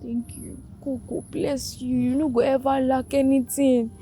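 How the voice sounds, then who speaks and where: High, mellow-voiced protective sister. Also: female voice, little pitch movement, unhurried, slight background noise. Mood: grateful. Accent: Nigerian. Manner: speaks in Nigerian Pidgin. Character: grateful, protective, humble elder sister, street-wise. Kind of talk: film drama acting.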